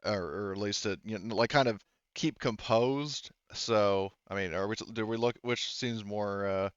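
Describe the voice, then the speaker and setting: A man refereeing a tabletop game as game master in casual, hesitant, halting phrases. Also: fairly low pitch, clear, resonant tone, no room sound, lo-fi sound.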